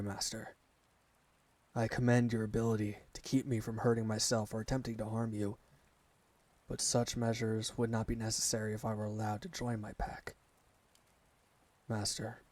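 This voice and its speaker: A man's voice: on the low side, darkish tone, natural voice. A man playing a devoted alpha werewolf servant in an ASMR roleplay, speaking formally and earnestly to his master. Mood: earnest pleading. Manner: formal, measured, reverent address to a master.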